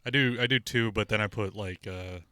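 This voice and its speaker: A man's voice: fairly low pitch, brisk, pushed and bright, clear, resonant tone, dry recording. A man co-hosting a podcast, joking and swearing casually with friends on a headset mic. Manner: joking, profane. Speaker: podcast co-host.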